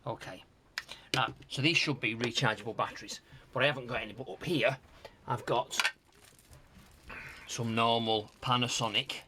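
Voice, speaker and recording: A middle-aged British man giving casual hands-on repair commentary, musing aloud in a halting, hesitant way. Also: medium pitch, steady tone, bright, forceful tone, slight background noise. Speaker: host of a retro tech repair channel. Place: home workshop loft.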